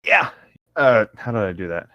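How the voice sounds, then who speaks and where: A man's voice: lowish voice, very animated, normal speed, sharp tone, dry recording, highs cut off. A man doing a theatrical, comic reading aloud with casual, jokey asides.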